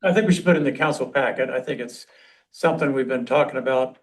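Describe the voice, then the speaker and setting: A man's voice: mid-range voice, fast talker. A man speaking informally as he leads a committee meeting in a council room. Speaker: committee member leading the meeting.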